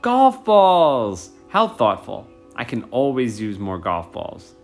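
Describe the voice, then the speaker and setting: A man's voice: mid-range voice, wildly swooping pitch, slow pace, soft background music, full character voice. A man voicing a kindly, effusive, easily delighted dad in a children's storybook. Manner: exclaiming, effusive.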